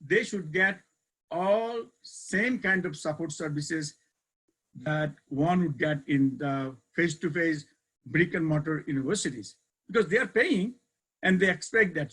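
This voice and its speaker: A man's voice: a little high, unhurried, fairly bright tone, slightly rough, rough recording. An older man with a Bangladeshi accent explaining material in a webinar lecture, emphatically repeating key terms in simple conversational phrasing. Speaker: university educator, keynote speaker.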